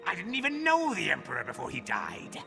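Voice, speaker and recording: An actor playing a mad sewer-dwelling beggar who rambles erratically in a video game. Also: male voice, high voice, huge pitch swings, brisk, vocal fry, heavily acted. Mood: agitated, evasive. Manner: rambling, erratic, talks of himself in third person. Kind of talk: video game character acting. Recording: background music behind the voice.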